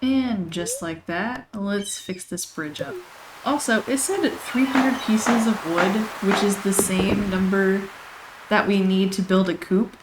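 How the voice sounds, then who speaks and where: A chatty woman, somewhat hoarse from losing her voice, rambling through casual gameplay commentary with frequent laughs. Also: medium pitch, slow, noisy background. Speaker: let's play host. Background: game music.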